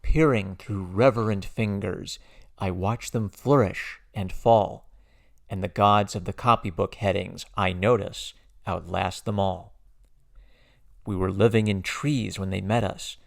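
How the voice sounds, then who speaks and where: A man giving a dramatic poetry reading with a gravelly voice and measured, declamatory phrasing with emphatic stresses. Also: low voice, fairly even intonation. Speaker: poetry reader.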